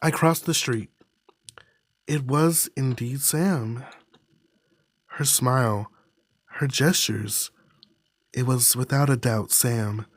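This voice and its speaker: A man reading a visual novel aloud as a streamer, with dramatic, deliberate phrasing. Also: medium pitch, no echo. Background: music.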